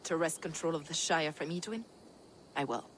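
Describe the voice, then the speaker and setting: A woman's voice: low-pitched, normal speed, a little hiss or hum, natural voice. A woman playing a grim, fierce warrior with a solemn, portentous way of speaking in a video game. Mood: resolute. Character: grim, fierce female warrior with zealous visions. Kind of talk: video game cutscene acting.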